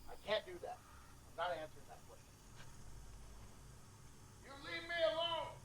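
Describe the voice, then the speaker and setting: Man playing paranoid sci-fi outcast, animated pitch, stammering, defensive, faintly noisy field recording. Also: normal speed, exaggerated voice. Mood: paranoid, agitated. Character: frightened, paranoid male outcast refusing questions. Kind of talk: sci-fi audio drama field interview.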